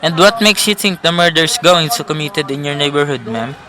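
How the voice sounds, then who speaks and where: A man's voice: on the high side, expressive, fairly quick, a crowd in the background, close to an everyday voice, rough recording. A young man playing an unimpressed, patronizing police desk officer in a suspense radio drama, placating and dismissive.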